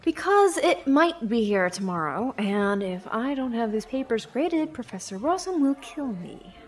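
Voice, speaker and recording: A woman playing a dedicated, weary young teaching assistant in an audio drama, measured and quietly resolute. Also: a little high, sing-song, faint music behind.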